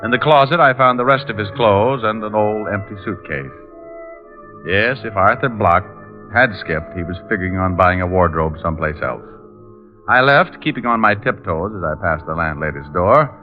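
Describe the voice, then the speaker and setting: An actor playing a sardonic private detective in a vintage radio drama, with an American accent and glib, dry wit. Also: male voice, fairly low pitch, animated, moderate pace, close to an everyday voice. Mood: wry narration. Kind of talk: 1950s radio drama acting and noir narration. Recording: muffled vintage radio recording, music.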